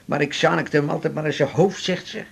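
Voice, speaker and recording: A man's voice: a little high, steady tone, brisk, vocal fry, breathy edge. An actor playing a chatty, blunt, wry man with an earthy colloquial manner in a 1980s radio drama. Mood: wry, grumbling.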